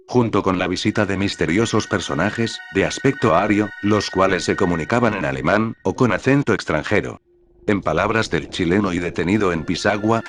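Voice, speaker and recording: A man reading scripted documentary narration as the narrator, in a measured, serious manner. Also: low-pitched.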